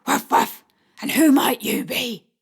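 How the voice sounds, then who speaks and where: A woman's voice: on the high side, slow pace, vocal fry, slightly rough, voice pushed up, exaggerated voice. Woman doing a silly, teasing doggy voice. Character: playful talking china dog. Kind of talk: audiobook character voice.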